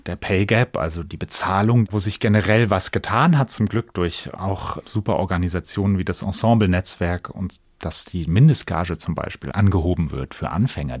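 A man's voice: sits low, no echo, clean studio sound. A man answering as an actor in a conversational radio interview, earnest and reflective, in broken-up phrases. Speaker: stage actor, interview guest.

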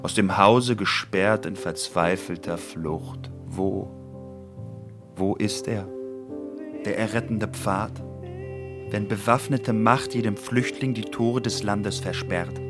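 A man's voice: on the low side, slow pace, music in the background. An actor playing an anguished old Greek man, declaiming solemnly in a verse radio drama. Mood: grieving, solemn.

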